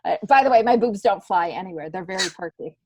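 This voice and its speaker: A woman's voice: medium pitch, animated, fast, noisy background. A woman in her fifties talking casually and candidly as a podcast guest, in a loose, rambling, joking way. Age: 50s. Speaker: podcast guest, stand-up comedian.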